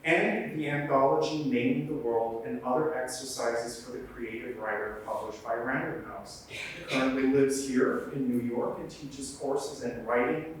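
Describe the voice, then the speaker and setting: A man's voice: medium pitch, a little hiss or hum. A man who directs programs, giving a chatty, joking introduction at a library event. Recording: very echoey room, from far off.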